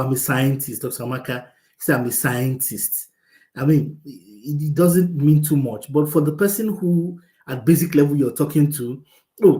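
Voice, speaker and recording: A male cybersecurity coach explains his field on an echoey video call. He talks in a lively way, builds his point with comparisons and restarts his phrases. His voice sits at a middle pitch. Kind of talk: casual explaining on a panel.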